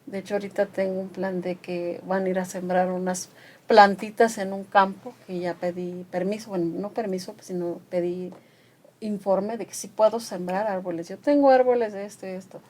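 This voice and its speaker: An older woman from the Mixe region speaks as a radio guest. Her delivery is animated, pressing harder when she makes a point. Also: mid-range voice, normal speed. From Mixe region of Oaxaca, Mexico. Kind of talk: conversational radio talk.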